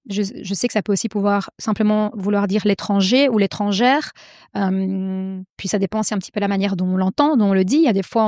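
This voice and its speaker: A woman's voice: medium pitch, darkish tone, dry recording. Woman, guest author, lots of ums and restarts, dry close sound. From Belgium. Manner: hesitant, many fillers and restarts. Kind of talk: casual interview conversation.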